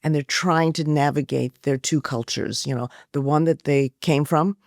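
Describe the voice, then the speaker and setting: A woman's voice: low voice, steady tone, normal speed, a little mellow, no room sound, studio quality. A woman journalist conducting a conversational interview, posing questions and reflecting on the answers.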